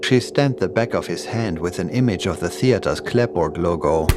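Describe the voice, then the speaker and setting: Male horror narrator, lower-pitched, scripted storytelling delivery, clear music bed underneath. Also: animated, moderate pace. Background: creepy music and sound effects.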